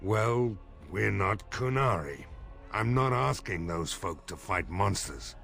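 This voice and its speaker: An actor voicing a gruff, weary, plain-spoken rustic village mayor in a fantasy video game. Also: male voice, on the low side, expressive, slow, a bit bright, faint music behind, exaggerated voice. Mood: earnest, dogged.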